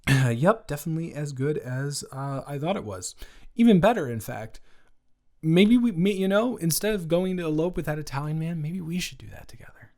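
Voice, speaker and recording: A young man playing an awkward, playful, smitten roommate in an improvised romantic audio roleplay, talking in a halting, rambling way with emphatic bursts. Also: on the high side, animated, darkish tone. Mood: playful, affectionate. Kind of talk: improvised romantic audio roleplay, intimate one-sided conversation.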